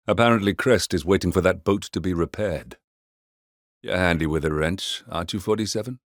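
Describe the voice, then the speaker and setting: A man voicing a composed, middle-aged mercenary handler in a video game, giving mission briefings in a measured, dryly understated way. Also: low voice, dry recording, studio quality. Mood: dry, wry.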